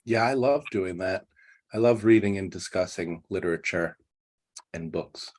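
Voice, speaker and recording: A man's voice: low voice, moderate pace, a little mellow. A man hosting an online English conversation class, giving short prompts to the group.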